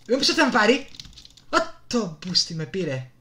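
A man's voice: high voice, big pitch swings, pushed and bright. A man with a Greek accent giving casual, slangy gameplay commentary with reactive exclamations. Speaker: gamer. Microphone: desktop USB microphone. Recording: noisy desktop mic with keyboard clicks. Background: keyboard and mouse clicking.

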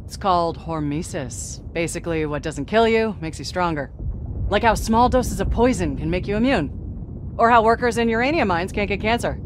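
An actress playing a chatty, nervously upbeat ship crew member in a video game, informal and conversational. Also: female voice, lively intonation, normal speed, lightly acted. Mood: matter-of-fact. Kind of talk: scripted video game voice acting. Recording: rumbling ship engine noise.

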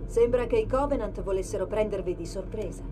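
A woman voicing a calm, precise military AI companion with dry wit in a video game. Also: mid-range voice, fairly quick, very noisy, lightly acted. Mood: composed, urgent. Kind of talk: video game voice acting, tactical briefing.

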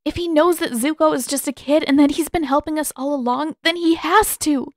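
Woman voicing an anxious, high-pitched teenage girl. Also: a little fast, no echo, studio quality, close to an everyday voice. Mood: desperate denial. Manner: urgent, emotional.

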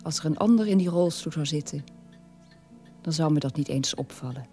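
An actor playing a middle-aged, estranged son in a radio drama, telling his story in a measured way. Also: a little high, warm tone, faint music behind, natural voice. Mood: resigned, estranged.

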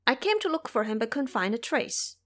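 Woman acting a brash, high-voiced monkey warrior. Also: big pitch swings, brisk. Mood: boastful. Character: brash, boastful male monkey warrior sage, immortal. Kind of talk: audio drama character acting.

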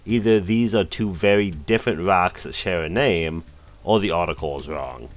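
Low-voiced skeptical male narrator. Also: moderate pace. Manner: dry, skeptical. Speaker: debunking narrator. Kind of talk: scripted narration.

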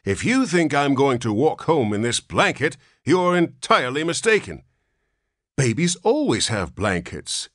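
A man voicing a querulous old man who is really a newborn, whining and shrill. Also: sing-song, measured pace, a bit bright, no room sound, studio quality. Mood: indignant. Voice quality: whiny, shrill.

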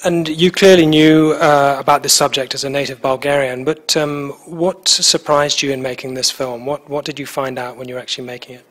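A man's voice: medium pitch, fairly even intonation, fairly quick, hardly any pauses, fairly bright tone. A middle-aged man interviewing a guest at a festival, asking polite, somewhat hesitant questions into a handheld mic in a small indoor room.

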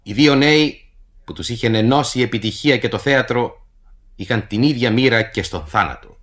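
A man reading a scripted introduction to a radio play in a formal, measured literary manner. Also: mid-range voice, animated, a bit bright. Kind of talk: scripted narration for radio theatre.

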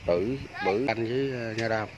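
A man's voice: fairly low pitch, normal speed, noisy background. A man, talking casually to viewers while filming a walking tour of a farm outdoors on a phone. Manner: chatty, keeps addressing viewers as aunts, uncles and friends. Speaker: vlogger giving a farm tour. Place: outdoors on a rural farm by fish ponds.

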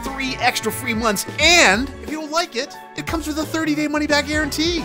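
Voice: a little fast, natural voice. A voice actor playing a sly, upbeat male wolf con man in a fan-dubbed comic skit, with a pushy, cheery sales patter. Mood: cheery salesman. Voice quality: salesman patter, wide pitch swings. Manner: pushy and cheery, with a sing-song pitch. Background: background music.

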